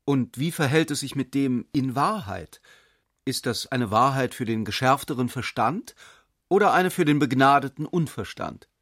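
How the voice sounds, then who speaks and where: A man reads a reflective literary passage for a radio drama, pondering and questioning as he goes. His voice is mid-pitched and sits close and dry on a studio mic. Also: animated, normal speed, a bit bright, dry recording. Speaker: reader of an essayistic passage.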